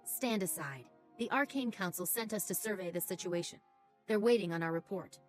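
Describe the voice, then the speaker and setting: A woman voicing a confident, brisk young gnome-like engineer agent in a video game, assertive and businesslike. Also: mid-range voice, close to an everyday voice. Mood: commanding. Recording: game music under the voice.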